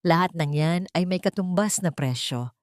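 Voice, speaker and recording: A woman narrator playing a wronged young mother in an audio love story, cold, bitter and deliberate. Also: fairly low pitch, no room sound, studio quality, natural voice. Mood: bitter, commanding.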